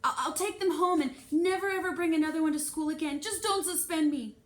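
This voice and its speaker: A woman's voice: extremely high pitch, fairly quick, roomy sound, voice pushed up. A woman voicing an anxious, bookish schoolgirl in a children's storybook, earnest and pleading. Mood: pleading, panicked. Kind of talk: children's novel read-aloud.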